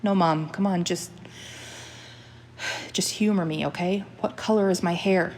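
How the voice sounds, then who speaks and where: A woman's voice: on the low side, fairly even intonation, a little slow, darkish tone, a little hiss or hum, natural voice. A woman voicing a frazzled woman in her thirties, strained and pleading.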